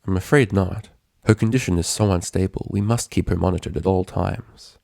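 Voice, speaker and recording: Man acting a low-voiced, curt pony doctor. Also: moderate pace, vocal fry, darkish tone, no room sound, clean studio sound. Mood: matter-of-fact. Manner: stiff, blunt, businesslike.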